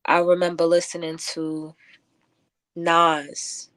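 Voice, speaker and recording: A woman with a low voice speaks as a guest poet in a radio interview. She is reflective and halting, feeling her way through answers. The sound is close and dry. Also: completely flat delivery, a bit bright, clear, resonant tone, dry recording. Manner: thoughtful, halting, many filler likes. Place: close-miked radio show.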